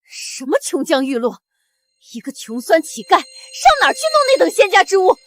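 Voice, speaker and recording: A woman's voice: very high voice, big pitch swings, a little fast, no room sound. An actress playing an arrogant, cruel pregnant noblewoman who talks down to everyone in a haughty, sneering way. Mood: scornful. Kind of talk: costume drama acting.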